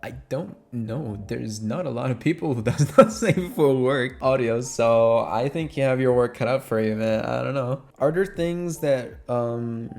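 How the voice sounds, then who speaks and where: Man, Filipino accent, mid-pitched voice, informal Q&A chat, candid, faint music behind. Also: unhurried, creaky, hardly any pauses, darkish tone. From Philippines. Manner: informal, candid, swears casually. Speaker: ASMR voice actor and creator. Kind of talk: casual Q&A chat to listeners.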